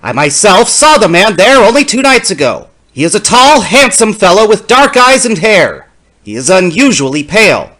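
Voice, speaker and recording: Lonely, sickly academic narrator for vintage horror , played by a man: formal, precise, brooding, slightly high voice with animated intonation. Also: moderate pace, exaggerated voice. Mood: irritated, insistent. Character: lonely, ailing bachelor professor, fussy and brooding narrator. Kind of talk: dramatic reading of a 1930s horror story.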